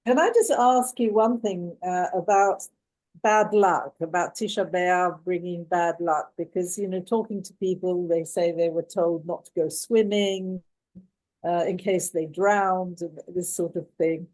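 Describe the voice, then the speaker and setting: A woman's voice: fairly low pitch, fairly even intonation, a little slow, darkish tone, rough recording. A woman hosting a talk, politely thanking the speaker and putting questions to him with some hesitation. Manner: hesitant, polite, many fillers. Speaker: host, moderator.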